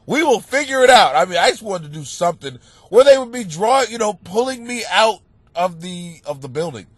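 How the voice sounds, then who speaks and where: A man with a blocked, stuffy nose rants like a comic on his own podcast, cursing freely and bursting into shouts. His voice sits high and leaps all over the place. The sound is close and dry, with no room around it. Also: fairly quick, husky, dry recording. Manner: animated, swearing, bursts into shouting. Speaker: stand-up comic and podcast host. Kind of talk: profane comedic rant monologue.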